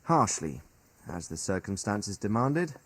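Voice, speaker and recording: Formal seasoned nobleman, expressive delivery. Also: on the low side, husky, full character voice. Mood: grave, recounting. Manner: formal, deliberate, articulate. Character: stern, seasoned noble royal commander, measured and authoritative. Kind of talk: dramatic video game cutscene dialogue.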